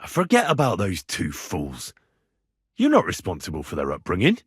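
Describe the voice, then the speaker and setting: Casting: brash young medieval squire, husky, lively intonation, blunt and quick-tempered game hero, dry close sound. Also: male voice, low voice, dry recording, exaggerated voice. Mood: firm. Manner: blunt, colloquial, assertive. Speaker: video game protagonist. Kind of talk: video game dialogue acting.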